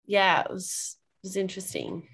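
A woman's voice: mid-range voice, moderate pace, creaky, a bit bright, husky. A woman hosting a podcast interview, chatting casually and responding with enthusiastic affirmations. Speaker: podcast host.

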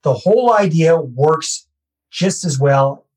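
Canadian guy on a podcast, kinda husky, slightly high voice, walks you through it step by step. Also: lively intonation, slow pace, a little mellow. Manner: conversational, self-deprecating, repeats key phrases. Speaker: real estate investor and guest. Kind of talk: podcast interview, explaining a process.

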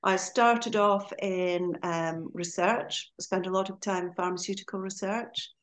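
A woman's voice: medium pitch, fairly even intonation, a little slow. A middle-aged woman from Scotland with an Aberdeen accent, chatting as a guest on a podcast and laughing easily as she talks. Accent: Scottish, Aberdeen. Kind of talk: casual interview chat.

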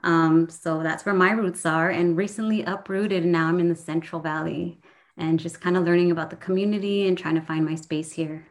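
A woman's voice: on the low side, steady tone, fairly quick. A woman from Southern California, a professor and guest, talking casually and earnestly with frequent ums. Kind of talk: casual conversation.

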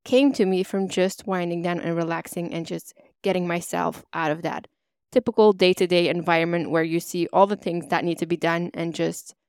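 A woman's voice: lowish voice, fairly even intonation, moderate pace, darkish tone, smooth, no room sound, clean studio sound. Congested woman hosting a motivational podcast. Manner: upbeat, motivational, chatty. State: congested, slightly nasal. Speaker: podcast host. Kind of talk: solo podcast monologue.

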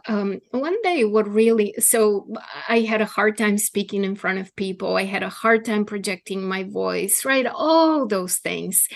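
A woman's voice: mid-range voice, creaky. A middle-aged woman, a former attorney turned healer, speaking as a podcast guest in an earnest, reflective way and often adding "right?". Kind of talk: casual interview conversation.

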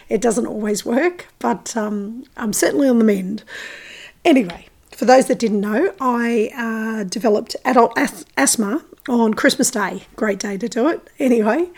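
Croaky, hoarse woman podcast host. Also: on the high side, a little mellow. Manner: chatty, enthusiastic, frequent ums and uhs. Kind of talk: casual solo podcast chat.

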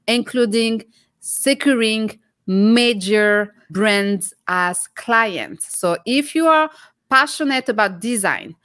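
A woman's voice: fairly high pitch, slow pace, a bit bright, no echo, clean studio sound. A woman hosting a leadership podcast, reading an upbeat promotional introduction and then putting questions to her guest. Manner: upbeat, promotional, multilingual greetings. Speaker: podcast host. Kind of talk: scripted podcast intro and interview.

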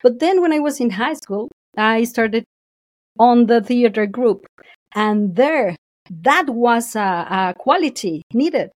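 A woman's voice: on the high side, slow pace, dry recording, clean studio sound. A woman guest in a casual podcast conversation, talking expressively and laughing often. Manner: expressive, laughs often, acts out quoted voices.

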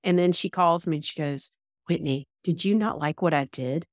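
Southern woman from Georgia, 30s, low voice, dry close sound, easygoing podcast guest. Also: moderate pace, no room sound. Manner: easygoing, laughs, self-deprecating. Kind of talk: casual interview chat.

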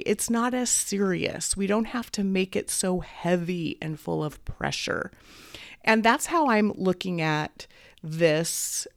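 A woman hosting a podcast as a weight coach, talking casually while fighting a head cold, a little raspy and clearing her throat. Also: mid-range voice, no echo, studio quality. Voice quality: slightly raspy. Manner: upbeat, encouraging, faith-based. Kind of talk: casual solo podcast talk.

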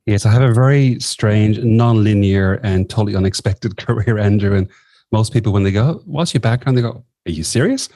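Irish male podcast guest, fifties, low and mellow voice, wry conversational storyteller. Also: normal speed, dry recording, studio quality. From southwest of Ireland. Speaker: podcast guest, former nurse and coach.

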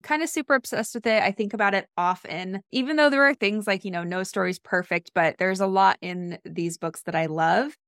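A woman's voice: medium pitch, a little fast, few pauses, fairly bright tone, dry recording, studio quality. A woman book coach guesting on a podcast, chatty and eager. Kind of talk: casual podcast chat.

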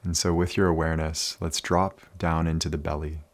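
Very deep-voiced man guiding meditation. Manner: calm, soothing, unhurried with many pauses. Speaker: meditation guide, coach. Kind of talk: guided meditation narration.

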